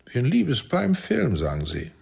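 An actor playing a commanding, sardonic older intelligence chief in a radio drama, probing and deliberate. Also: male voice, mid-range voice, wildly swooping pitch, gravelly, husky, heavily acted. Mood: paternal, sly. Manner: deliberate, probing, swinging from soft to barking. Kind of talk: German radio drama acting.

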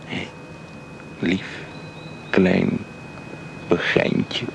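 A man playing a tender young painter in love, speaking softly and often in a whisper in a radio drama. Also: deep voice, monotone, drawn-out words, creaky, slight background noise, full character voice. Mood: pleading. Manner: soft, intimate, often whispering. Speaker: suitor Jaap.

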